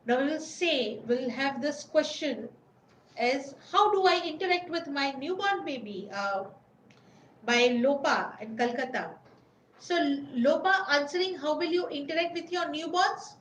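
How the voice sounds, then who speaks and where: A woman's voice: high voice, expressive, measured pace, a bit bright, slight background noise. A woman giving an informal webinar talk, often repeating herself and searching for words. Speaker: webinar presenter. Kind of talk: informal lecture. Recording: roomy recording with faint background ambience.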